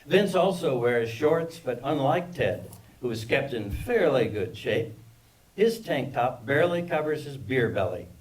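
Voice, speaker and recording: A man's voice: medium pitch, sing-song, unhurried. A man reading scripted stage directions as narrator of a screenplay table read, recorded from a distance. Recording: distant microphone in live reading.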